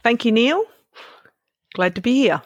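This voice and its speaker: A woman's voice: on the high side, animated, a little slow, dry recording, muffled recording. A woman in her fifties with an Australian accent, born in Malaysia, telling her story casually and candidly as an interview guest, with some self-deprecation. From born in Malaysia, moved to Australia around twelve.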